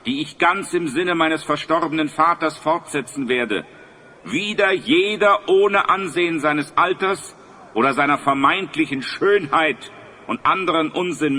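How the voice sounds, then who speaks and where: An actor playing a just, dignified new regent in a fantasy audio play, speaking in stately declamation. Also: male voice, on the high side, sharp tone. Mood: proclaiming.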